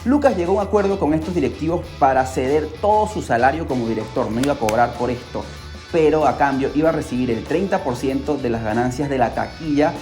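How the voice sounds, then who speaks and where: A podcast host telling a scripted story in a dramatic, excitable way, with rhetorical questions and exclamations. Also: medium pitch. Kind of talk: scripted storytelling narration for a pop culture podcast.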